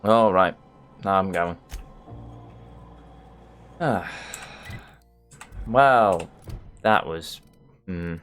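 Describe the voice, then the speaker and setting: A man's voice: deep voice, drawn-out words, long pauses, a bit bright, clear, resonant tone, soft background music. A man giving casual let's play commentary, reading game text aloud and muttering playfully to himself.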